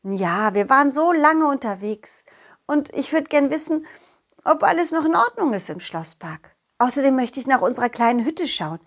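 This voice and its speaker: A woman's voice: sits high, big pitch swings, normal speed, exaggerated voice. A woman voicing Kollin, a confident, loyal talking dog, narrating a children's audio play in a simple, child-directed way. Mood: friendly, eager. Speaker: storyteller and main character of a children's audio story.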